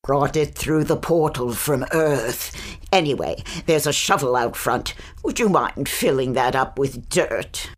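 Woman acting a low-voiced, eccentric sorceress. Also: unhurried. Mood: brisk, eccentric. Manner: theatrical, incantatory, commanding. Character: eccentric, mysterious sorceress from a magical world. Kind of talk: fantasy audio drama acting.

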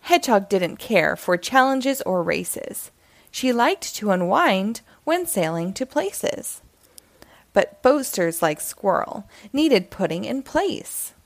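A woman reading a rhyming children's picture book aloud in an expressive storytelling style. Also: mid-range voice, takes it slowly, vocal fry, no room sound.

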